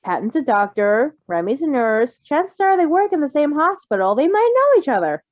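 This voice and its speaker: A woman's voice: high voice, big pitch swings, moderate pace, no echo. Woman reading and chatting, slightly muffled. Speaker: fan fiction reader and co-host. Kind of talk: story reading, then casual excited chat.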